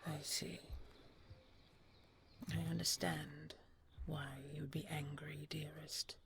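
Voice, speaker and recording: A regal dragon queen voiced by a woman speaks very slowly in a soft, creaky near-whisper. She is tender and loving, pausing gently as she consoles her beloved in an intimate bedtime roleplay, with faint noise behind her. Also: low-pitched, level tone, exaggerated voice. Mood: tender, earnest. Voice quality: soft, breathy, often whispered. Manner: gentle, halting, unhurried endearments. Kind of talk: intimate audio roleplay, sleep-aid storytelling.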